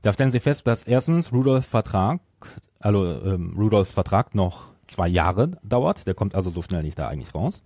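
A man's voice: lowish voice, very animated, normal speed, a little mellow, no room sound, clean studio sound. A man hosting a podcast, retelling a story from notes and at times searching for words. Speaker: podcast host.

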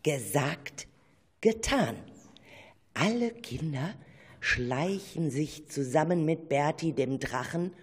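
A woman narrating a children's radio play in an expressive, drawn-out storytelling manner. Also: low voice, slightly rough.

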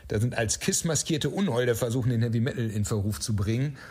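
A man with a slightly husky voice giving explanatory answers as an interview guest. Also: medium pitch, fairly quick, darkish tone. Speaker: interview guest, audio drama writer.

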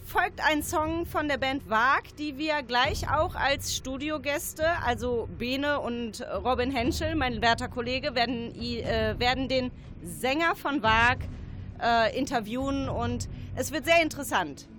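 A woman's voice: high-pitched, measured pace, fairly bright tone, slight background noise. A woman presenting casually as a radio co-host.

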